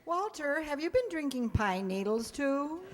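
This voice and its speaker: Wry female English teacher actress, high voice, theatrical radio comedy style, big reverberant hall. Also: sing-song, a little slow. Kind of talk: old-time radio sitcom acting.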